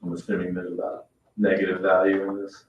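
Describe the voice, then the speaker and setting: A man's voice: sits low, completely flat delivery, fairly quick, a little hiss or hum. A man chairing a public council meeting with procedural talk, recorded in a meeting room. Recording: echoey room, distant mic, dull sound.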